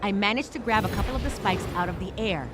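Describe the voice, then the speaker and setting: A woman's voice: mid-range voice, quick pace, music in the background, natural voice. A woman voicing a weary but gritty furred female adventurer who tells her story in the first person with wry asides, in an audio drama style. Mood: tense, urgent.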